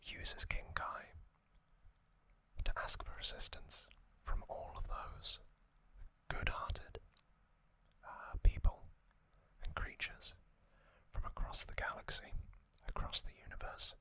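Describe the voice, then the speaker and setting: Guy doing whispered asmr storytelling, really slow, crisp bright hiss to the voice. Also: low-quality recording. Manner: soft, unhurried, halting delivery in short phrases. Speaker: ASMR host.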